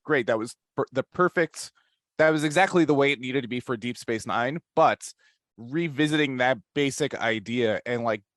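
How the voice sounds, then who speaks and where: A man's voice: mid-range voice, no echo. Man in casual podcast talk, dry sound. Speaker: podcast panelist. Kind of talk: casual panel discussion of a TV series.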